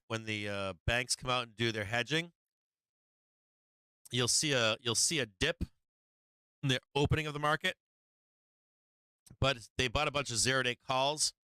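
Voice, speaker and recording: A man's voice: mid-range voice, bright, forceful tone, clean tone, dry recording. A man hosting a stock market livestream, talking casually and profanely through charts with many fillers while he is losing his voice.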